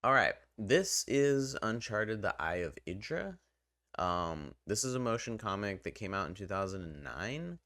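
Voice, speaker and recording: Very deep-voiced man casually reviewing games. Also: very animated, unhurried, bright, forceful tone, no room sound, clean studio sound. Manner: rambling, conversational, enthusiastic about the subject. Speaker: gaming video host and reviewer. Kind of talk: casual, loosely scripted game review commentary.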